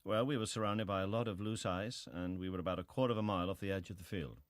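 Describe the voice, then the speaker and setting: A man acting as a ship's captain on the witness stand, with a low voice recorded dry. He is clipped, restrained and matter-of-fact, in the style of dramatized testimony. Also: fairly even intonation, fast, no room sound, natural voice. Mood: terse, restrained. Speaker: actor reading hearing testimony. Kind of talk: dramatized reading of historical testimony.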